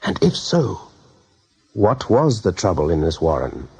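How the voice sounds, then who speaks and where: A man's voice: deep voice, very animated, unhurried, darkish tone, husky, exaggerated voice. A man narrating a radio drama as a grave, dramatic storyteller building suspense. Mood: grave, uneasy. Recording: dull band-limited radio recording.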